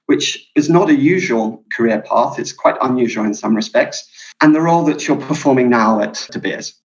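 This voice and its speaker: Man, podcast host, mid-range voice, measured, scripted intro. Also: mid-range voice, dull audio.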